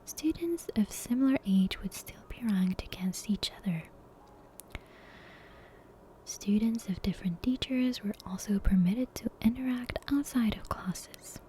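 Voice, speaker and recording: A woman reading a bedtime story for ASMR in a breathy, unhurried whisper, soft and hushed. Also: mid-range voice, slight background noise, low-quality recording. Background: soft game soundtrack ambience.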